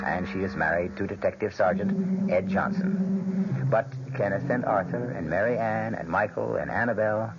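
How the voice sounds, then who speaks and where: A man hosting and narrating a radio drama with a measured, dramatic storytelling delivery. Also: lowish voice, gravelly. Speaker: host and narrator. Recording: muffled, noisy old radio broadcast.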